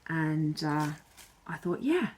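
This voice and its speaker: Earnest chatty woman, lowish voice. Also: very animated, slow, darkish tone, slight background noise. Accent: none Irish. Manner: earnest, chatty and reflective, with some fillers. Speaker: self-styled joy bringer. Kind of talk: talking to camera with tips.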